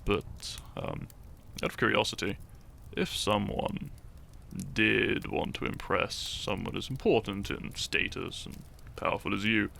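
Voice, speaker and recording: A man playing a shy, teasing, lovestruck young hero in an intimate romantic audio roleplay, speaking softly and hesitantly with small stammers. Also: fairly low pitch, a little slow, a little hiss or hum, full character voice. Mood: curious, fond. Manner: soft, hesitant, stammering, breathy sighs and chuckles.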